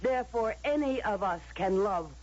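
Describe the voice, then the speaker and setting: A stern, coldly persuasive woman in authority, played by an actress, lecturing in a husky voice with dramatic rises and falls. It is declamatory old radio drama on a dull, lo-fi recording. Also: medium pitch, expressive, normal speed, natural voice. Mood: insistent, persuasive.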